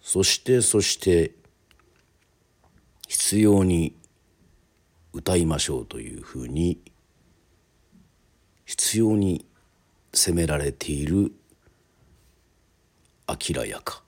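芝居がかったラジオドラマの語り口で、あらたまった口上のように話す男性のナレーター。. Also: male voice, sits low, animated, a little mellow, slightly rough. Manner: mock-formal, drawn-out announcer phrasing. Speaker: narrator, storyteller. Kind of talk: theatrical radio drama narration.

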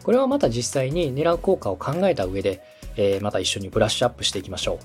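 A man's voice: medium pitch, expressive, quick pace, soft background music. A man hosting a podcast, explaining step by step in a polite, instructive coaching style. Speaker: podcast host and radio director giving advice.

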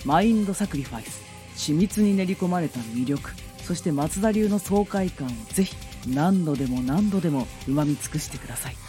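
A man giving a polite, measured promotional testimonial. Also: on the high side, animated, warm tone, faint music behind.

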